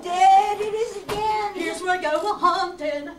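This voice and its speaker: Lady as an eager excitable castaway sidekick, super high drawn-out voice, echoey radio serial. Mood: excited. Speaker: amateur performer in a radio play reenactment. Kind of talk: old-time children's radio serial acting. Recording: echoey room, distant camera mic, hiss.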